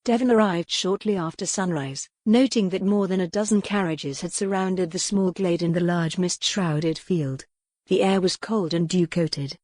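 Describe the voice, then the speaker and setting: A woman's voice: fairly low pitch, normal speed, darkish tone, no room sound. A woman narrating a historical romance novel as a scripted audiobook.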